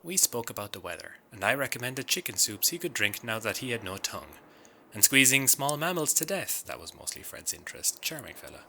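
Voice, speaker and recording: Man acting a bright-voiced, sarcastic irreverent rogue. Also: mid-range voice, sing-song, brisk, gravelly, slight background noise, full character voice. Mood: sardonic. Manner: flippant, wry, crude joking. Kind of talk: comic radio drama acting.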